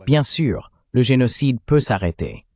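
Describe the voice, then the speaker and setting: A man's voice: mid-range voice, huge pitch swings, unhurried, dark tone, dry recording, clean studio sound. A man voicing an interviewer's questions in a dubbed political interview. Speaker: voice-over translator of the interviewer.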